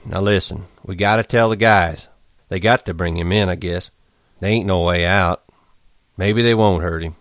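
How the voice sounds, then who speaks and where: A man's voice: deep voice, normal speed, fairly bright tone, dry recording. A man voicing a resigned, stern ranch worker with plain, rustic American speech, in an audiobook. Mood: firm, sad.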